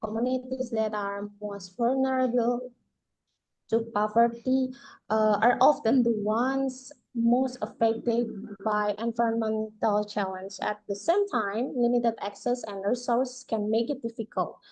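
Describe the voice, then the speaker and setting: Halting Indonesian-accented woman, mellow voice. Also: fairly high pitch, steady tone, low-quality recording. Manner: careful, halting, frequent "uh" pauses. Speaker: presenter from a philanthropic organization. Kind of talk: online conference presentation. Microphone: video call.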